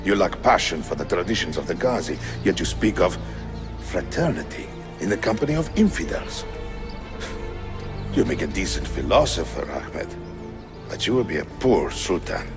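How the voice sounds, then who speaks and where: A man's voice: sits low, very animated, normal speed, music in the background. An actor playing a proud, ambitious Ottoman military captain in a video game, formal, hard and blunt. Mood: contemptuous. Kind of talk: video game cutscene acting.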